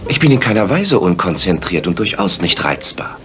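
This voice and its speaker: Ein Sprecher, der in einem Hörspiel einen treuen, leicht reizbaren Arzt spielt, ernst und empört. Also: male voice, sits low, big pitch swings, moderate pace, music in the background. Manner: indignant and earnest. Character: loyal, easily ruffled male doctor companion. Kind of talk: German radio drama.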